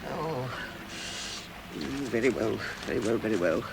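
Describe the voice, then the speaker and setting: A reluctant, flustered hobbit gentleman, English, animated radio-play delivery, noisy old recording. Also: lowish voice, normal speed, vocal fry. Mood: flustered protest. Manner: flustered, stammering, fussy. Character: fussy, timid, reluctant male hobbit, small and respectable. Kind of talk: old-fashioned radio drama acting.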